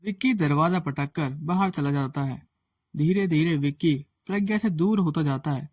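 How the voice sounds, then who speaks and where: A male narrator with a slightly high voice tells a scripted moral story. Soft music runs faintly behind him. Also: moderate pace, no echo.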